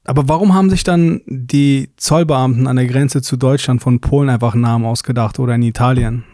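A young man telling anecdotes as co-host of a casual podcast, with frequent filler sounds. His voice is dark and mellow in tone. The recording is close and completely dry. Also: mid-range voice, steady tone, a little fast, dry recording. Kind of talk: casual chat.

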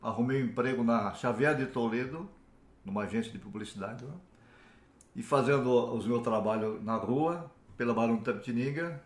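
A man's voice: mid-range voice, fairly quick. A man in his seventies with a Brazilian accent, reminiscing casually as a panelist and repeating phrases for emphasis. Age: 70s. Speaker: panelist on a talk show. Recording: roomy echo.

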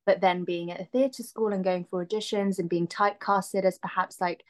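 A woman's voice: mid-range voice, fairly even intonation, moderate pace. A woman in her thirties, a singer-songwriter guest, talking reflectively in a casual interview with many ums and likes. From Filipina-Sri Lankan, raised in the UK. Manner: reflective, lots of "um" and "like".

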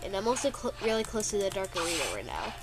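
A congested young woman gives casual gameplay commentary in a middle-pitched voice, sounding sniffly throughout. She rambles from thought to thought and playfully whines whenever her character takes a hit. Loud game music plays behind her. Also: sing-song, fast. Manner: rambling and chatty, mock-complaining playfully when hit. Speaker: gameplay video creator.

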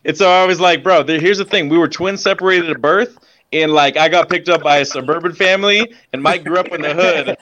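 A man's voice: a little high, a little fast, pushed and bright. Joking man on a muffled line. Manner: joking, chatty storyteller. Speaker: doctor and podcast guest. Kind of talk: casual podcast chat.

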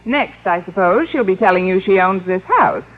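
An actress playing a wry, sharp-tongued single schoolteacher with dry, sarcastic delivery in vintage radio sitcom style. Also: female voice, medium pitch, expressive, normal speed, close to an everyday voice. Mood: haughty. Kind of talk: 1950s radio sitcom acting. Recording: tinny old radio, audience, noisy.

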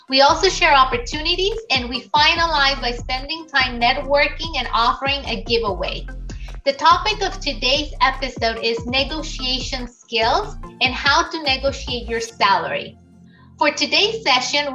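A woman's voice: high voice, sharp tone, distant mic, rough recording. A middle-aged woman hosting an online session, reading a formal prepared welcome and then asking her guest questions. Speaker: host. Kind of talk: scripted introduction and interview questions.

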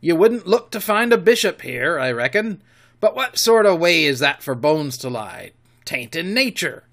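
A man voicing a cunning, commanding old pirate cook who talks in gruff sailor's slang, loud and blustering. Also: fairly high pitch, big pitch swings, moderate pace, exaggerated voice.

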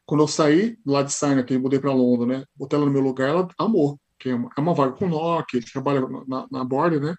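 A man's voice: medium pitch, steady tone, fast talker, hardly any pauses, a little mellow, highs cut off. A Brazilian man with a São Paulo accent, a podcast guest who tells stories in casual chat and acts out the dialogue he quotes. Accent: São Paulo Portuguese.

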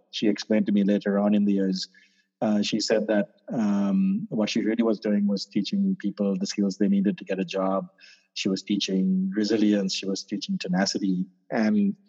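A man from Sri Lanka explains his startup programs in a relaxed, mellow voice that sits low. He hesitates often, filling gaps with uhs and restarting phrases. The recording sounds muffled and lo-fi. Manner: hesitant, many fillers and restarts. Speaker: startup accelerator founder, guest. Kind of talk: casual interview answers. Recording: dull lo-fi sound.

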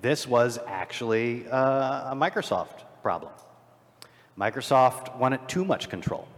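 A man's voice: mid-range voice, expressive, measured pace. A man speaking off the cuff as a panelist at a live convention, with a wry, jokey, declamatory way of talking. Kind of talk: improvised panel discussion with comic asides. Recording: live panel in a reverberant hall.

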